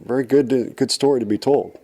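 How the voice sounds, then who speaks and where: A man's voice: mid-range voice, brisk, dark tone. A man who grew up in Tokyo, an actor answering interview questions in an earnest, polite way. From grew up in Tokyo, Japan.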